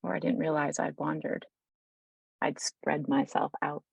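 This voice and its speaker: Casting: female meditation guide, deep register with gravelly fry, soothing and informal, unhurried delivery, close dry sound. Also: flat delivery, a little mellow, no echo. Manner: soothing, unhurried, informal, with pauses mid-thought.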